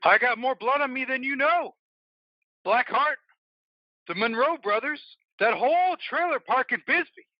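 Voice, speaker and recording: A man acts out a vengeful, reckless biker in an audio play, taunting and defiant. His voice runs high and swings widely, in a dry, slightly dull recording. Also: animated, a little slow, no echo, full character voice. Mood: furious taunting. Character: Tom, vengeful, reckless male biker begging to be taken. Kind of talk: audio drama acting.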